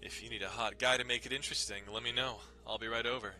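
A man's voice: medium pitch, steady tone, quick pace, pushed and bright, faint music behind. A man playing an amused, dutiful young pastry shop worker in an understated, easygoing way, in a visual novel reading. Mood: casual.

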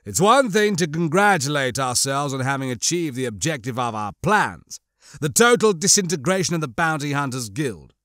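A man playing a cold, scheming crime lord in an audiobook, mocking and disdainful, with menacingly measured delivery. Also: on the high side, animated, a bit bright, dry recording, studio quality. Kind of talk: audiobook character acting.

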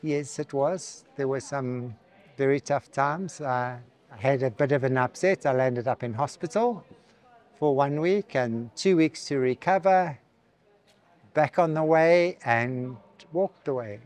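A man's voice: medium pitch, measured pace, a little mellow. A man in his sixties with a South African accent, speaking emphatically outdoors in a town square. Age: 60s. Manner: emphatic, praising. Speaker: pilgrim interviewee.

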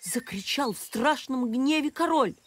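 High-voiced woman telling a bedtime story. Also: animated, measured pace. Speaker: actress reading a fairy tale. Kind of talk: expressive bedtime storybook narration. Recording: music behind the voice.

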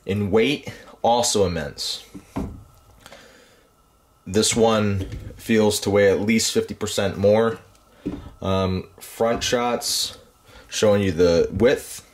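A man's voice: lowish voice, slow pace, long pauses. A man giving a casual, plainspoken tool review, with frequent ums and uhs. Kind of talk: casual product review voice-over.

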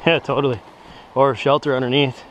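A man's voice: medium pitch, steady tone, fairly quick, fairly bright tone, low-quality recording. A man talking casually as a vlogger on an outdoor trip. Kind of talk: casual chat on a canoe trip. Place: outdoors on a river and forest trail. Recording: outdoor action camera, faint background noise.

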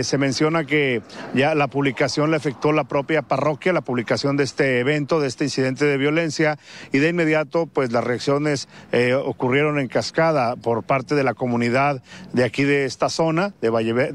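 A middle-aged man from Mexico, reporting live from a street, speaking off the cuff with fillers and restarts. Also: mid-range voice. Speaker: field reporter. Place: outdoors on a residential street. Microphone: handheld mic.

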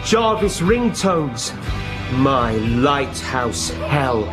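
A man's voice: medium pitch, sing-song, very slow, music in the background. An actor playing a self-important, washed-up male pop star in a radio comedy play, with a theatrical manner. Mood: grandiose. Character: washed-up male pop star turned grumpy lighthouse keeper, grandiose.

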